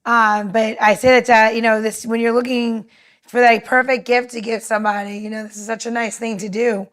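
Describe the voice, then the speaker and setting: A woman's voice: fairly high pitch, little pitch movement, fairly quick. A woman co-hosting a podcast in casual conversation, talking in an earnest, rambling way. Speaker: podcast co-host.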